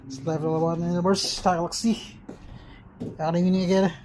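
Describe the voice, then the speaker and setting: A man's voice: high voice, little pitch movement, brisk, background noise. A Filipino man, Bisaya his first language, rambling philosophically and laughing often in a casual chat to camera.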